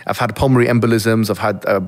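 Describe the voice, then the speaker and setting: Fast-talking earnest man, reflective. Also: lowish voice, fairly even intonation. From Egypt. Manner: earnest, reflective, with many restarts. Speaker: guest. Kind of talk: interview conversation.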